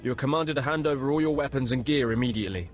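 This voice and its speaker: An actor playing an arrogant, bigoted imperial sergeant who barks contemptuous orders in a video game cutscene. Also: male voice, mid-range voice, rapid-fire, soft background music, natural voice. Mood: barking.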